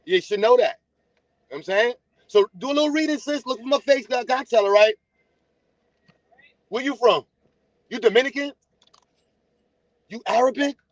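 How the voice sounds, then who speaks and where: Casting note: high-voiced New man, blunt livestream host, dull lo-fi audio. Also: moderate pace, pauses often, no room sound. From United States. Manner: blunt, teasing, talks straight to viewers. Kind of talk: casual livestream chat. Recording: dull, lo-fi sound.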